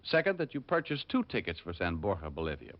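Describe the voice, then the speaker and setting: A muffled old radio recording of a cocky American private detective. His voice sits low and moves freely up and down. He delivers everything with wry, glib, sardonic ease. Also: wildly swooping pitch, fast talker. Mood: wry, businesslike. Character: wisecracking, cocky male private detective for hire. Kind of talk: 1950s radio drama acting.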